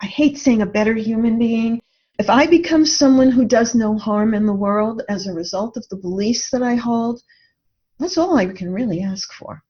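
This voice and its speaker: A woman's voice: a little high, normal speed, darkish tone. A woman speaking as an interview guest in an earnest, reflective way, telling personal stories. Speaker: podcast guest, writer and coach. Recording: dull lo-fi audio.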